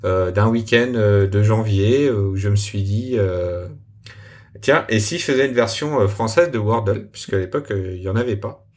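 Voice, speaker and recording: Hesitant man with a fairly low voice. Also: normal speed. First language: French. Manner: hesitant, many filler sounds and restarts. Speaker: software developer guest. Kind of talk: casual interview answers.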